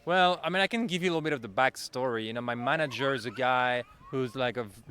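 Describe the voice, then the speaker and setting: A man from Belgium with a Belgian French accent, a TV correspondent chatting in an interview with quick bursts and self-corrections. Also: medium pitch, bright, forceful tone. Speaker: national TV correspondent. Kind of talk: casual interview answers. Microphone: handheld interviewer mic.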